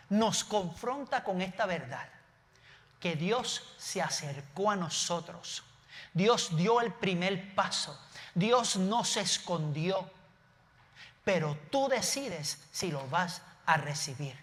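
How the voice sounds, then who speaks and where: A Puerto Rican pastor with a Puerto Rican Spanish accent preaches from a church stage, mixing earnest points with casual asides and quick self-corrections. His voice sits high and sounds bright and forceful. A long hall reverb trails behind his words. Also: expressive. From Puerto Rico. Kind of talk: sermon.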